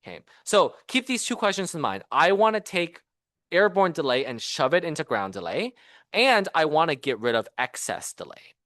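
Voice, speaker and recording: Animated male lecturer, swooping intonation. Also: a little high, normal speed, no room sound, clean studio sound. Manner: animated, conversational, explains with rhetorical questions and acted-out quotes. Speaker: university professor giving a seminar talk. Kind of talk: engineering lecture. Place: seminar room at a university.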